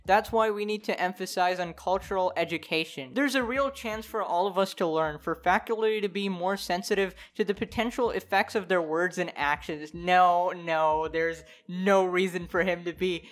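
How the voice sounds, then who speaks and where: A woman's voice: lowish voice, normal speed, hardly any pauses. A young woman giving casual, opinionated online commentary in an exasperated, incredulous, slangy way. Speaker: YouTuber. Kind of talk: casual opinionated commentary, reading articles aloud.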